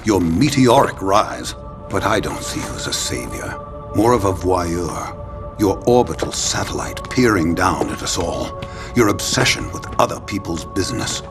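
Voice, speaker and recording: An actor playing an imperious, menacing older leader of a mutant cause in a video game, with a formal, sardonic, theatrical delivery. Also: male voice, sits low, lively intonation, unhurried. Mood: mocking. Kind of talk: video game cutscene acting. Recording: loud music bed behind.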